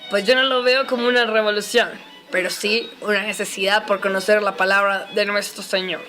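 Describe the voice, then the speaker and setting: Woman as earnest religious reformer, flat intonation, scripted, solemn, educational radio play, soft music bed. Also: medium pitch, brisk, lightly acted. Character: earnest, pious male religious reformer monk.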